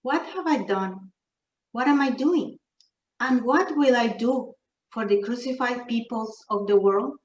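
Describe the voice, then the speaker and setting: A woman reading spiritual quotations aloud at a memorial, slow and solemn. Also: fairly high pitch, dull audio. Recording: roomy, distant mic with faint noise.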